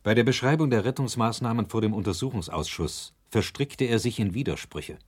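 An actor playing a grave, reflective commentator in a documentary radio drama, formal, measured and critical. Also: male voice, on the low side, breathy edge. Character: grave, reflective male commentator judging the evidence.

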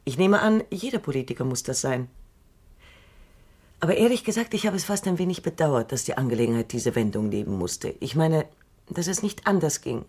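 An actress playing Doctor Calvin, a shrewd, dry and assured elderly woman robot psychologist, speaking in a measured, knowing way in a radio drama. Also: low-pitched, animated, darkish tone, breathy edge. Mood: wry.

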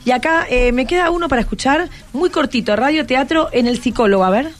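Music plays softly under a woman hosting a radio interview. Her voice sits a little high and carries a Rioplatense Argentine accent. She chats casually and encouragingly, with plenty of short, informal questions. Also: fast. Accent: Rioplatense Argentine Spanish. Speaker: radio host. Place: radio programme recording.

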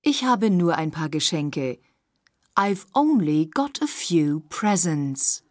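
Slow, breathy woman reading lesson phrases. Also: fairly low pitch, big pitch swings, darkish tone, no room sound, studio quality. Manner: slow, careful, clearly articulated phrases. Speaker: language course speaker. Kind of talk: scripted language-course reading.

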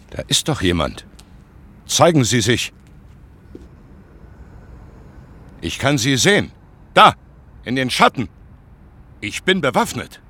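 Self-important older statesman for radio drama: formal, commanding, very wide pitch range, noisy setting. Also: sharp tone, roomy sound, heavily acted. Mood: wary, threatening. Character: pompous older justice minister, a guilty former judge. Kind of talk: German radio crime drama acting.

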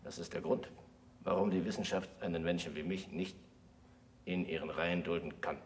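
An actor in a German radio play voicing a disgraced, self-accusing scholar who lectures in a solemn, deliberate, oratorical way. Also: male voice, low-pitched, fairly even intonation. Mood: bitter, resigned. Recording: noisy old radio play recording.

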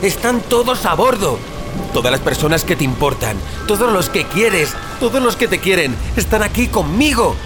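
A desperate protective brother, spain accent, highish voice all over the place, music behind. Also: male voice, very animated, moderate pace. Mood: urgent, pleading. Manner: urgent, pleading, foul-mouthed. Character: desperate, armed, protective brother, obsessed with impending doom. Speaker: actor in an audio drama. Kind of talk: Spanish audio fiction acting. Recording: dramatic music bed under the voice.

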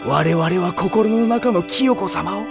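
An actor playing an excitable, gullible teenage boy in an anime audio drama, melodramatic in both saintly politeness and yelling. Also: sits high, slow, gravelly, heavily acted. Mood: serene, pious. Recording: music behind the voice.

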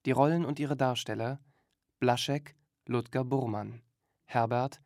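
A male announcer reads radio play credits in a measured, very slow way. His mid-pitched voice is gravelly with fry. The studio sound is close and dry. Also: fairly even intonation, darkish tone, no echo. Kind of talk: scripted radio drama credits.

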